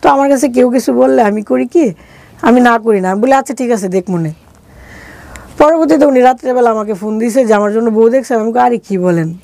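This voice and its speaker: Bangladeshi woman, middle aged, soft mellow tone, chatting through her life story, quotes what people said, dry close sound. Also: on the high side, brisk, creaky, dry recording. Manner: colloquial, acting out quoted dialogue. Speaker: interview guest telling her life story. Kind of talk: conversational personal storytelling.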